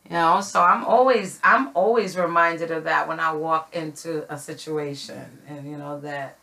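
Bright-voiced middle-aged woman hosting. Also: deep voice, steady tone, normal speed, creaky. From not from Connecticut. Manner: conversational, many fillers and "you know". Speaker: podcast host. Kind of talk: casual group discussion.

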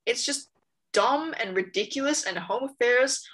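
A woman's voice: on the high side, a little slow, fairly bright tone. Young woman from Hong Kong, emphatic and indignant. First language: English. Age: young adult. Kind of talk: casual chat.

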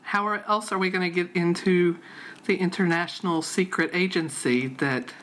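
A woman's voice: on the low side, steady tone, moderate pace. A woman co-hosting a podcast in casual chat, with a wry, joking manner. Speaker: podcast co-host.

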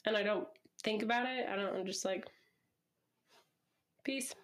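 A woman's voice: mid-range voice, measured pace. A young woman hosting a casual podcast, slightly wheezy from a recent illness, chatting and laughing often. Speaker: podcast host. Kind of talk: casual chat. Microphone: headphones, podcast mic.

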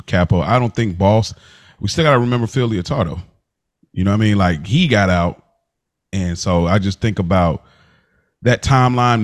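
A man's voice: fairly low pitch, dry recording, studio quality. A man hosting a casual recap podcast, talking in colloquial, slangy phrasing. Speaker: podcast host.

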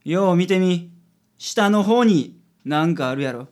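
A man's voice: high voice, expressive, unhurried, a little mellow. A man playing a confident, shrewd private detective in a radio drama, theatrical, with a Kansai accent. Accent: Kansai Japanese.

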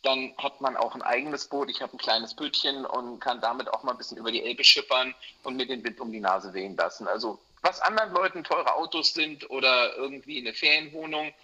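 A man in his forties from Berlin, a mortgage broker talking in a relaxed interview, chatty with many fillers and restarts. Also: mid-range voice, fairly quick, few pauses. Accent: Berlin German. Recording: muffled, lo-fi sound.

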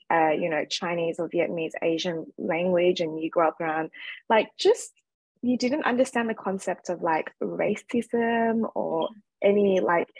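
A woman with an Australian accent talks as a podcast guest, sharing her background in a candid, reflective way. Her voice sits on the low side. The recording is dry, with no room sound. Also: no room sound, rough recording. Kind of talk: casual interview conversation.

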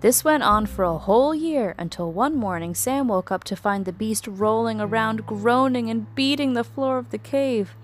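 A woman narrating a scripted children's story in an expressive, dramatic storytelling manner. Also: on the high side, moderate pace, hardly any pauses, slight background noise.

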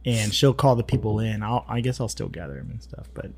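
A man's voice: lowish voice, sing-song, a little fast, darkish tone. A man hosting a casual chat as a wedding photographer, loose and chatty, laughing often. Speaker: podcast host, wedding photographer.

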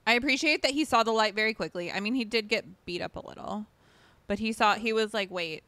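Co-host, woman, crisp voice, dry close recording, chatty and agreeable. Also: mid-range voice, fairly bright tone, dry recording. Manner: chatty, agreeable, quick to laugh along. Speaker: podcast co-host. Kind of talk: casual chat reviewing a series.

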